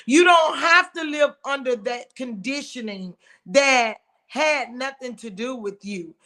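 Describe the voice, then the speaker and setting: High, bright-voiced outspoken woman host. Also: a little slow. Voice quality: big voice. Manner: emphatic, outspoken. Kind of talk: casual chat.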